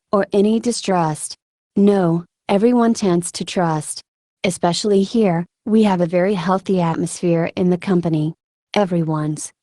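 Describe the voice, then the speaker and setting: A woman voicing a steadfast female combat medic in conversational, halting interview answers for a translated voice-over. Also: lowish voice, warm tone, dry recording, clean studio sound, lightly acted. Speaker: dubbing voice of an interviewee.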